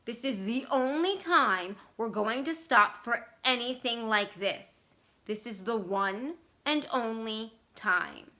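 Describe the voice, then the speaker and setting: A woman's voice: a little high, lively intonation, slow pace, bright, forceful tone, full character voice. A woman voicing a stern, short-tempered father in a story reading, curt and emphatic. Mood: stern, grudging.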